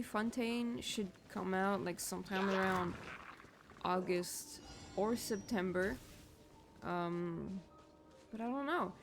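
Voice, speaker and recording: A woman's voice: medium pitch, slight background noise. A young woman streaming a video game, rambling casually with lots of filler words and swearing, her voice a little shaky. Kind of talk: casual gameplay commentary.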